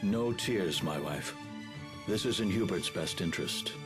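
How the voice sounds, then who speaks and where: A man's voice: low-pitched, animated, unhurried. Stern, commanding father, music behind. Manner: curt, commanding. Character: stern, cold aristocratic father, middle-aged. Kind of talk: dramatic video game dub acting. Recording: music under the voice.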